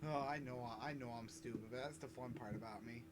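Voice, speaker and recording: A man's voice: medium pitch, fairly quick, very noisy. A man giving casual, rambling let's-play commentary, swearing and mocking himself, between coughing fits at his desk mic. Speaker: gaming YouTuber.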